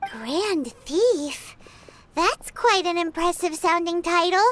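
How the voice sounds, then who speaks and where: A woman voicing a tiny, excitable floating companion girl in a video game, who chirps and talks about herself by name. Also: very high-pitched, takes it slowly, slight background noise, exaggerated voice. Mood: chirpy. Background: game music.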